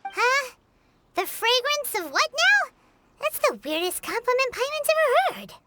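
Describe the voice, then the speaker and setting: A woman voicing a tiny, chirpy and blunt fairy companion in a video game, with a high squeaky voice and an excitable, indignant manner. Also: unhurried, very noisy, full character voice.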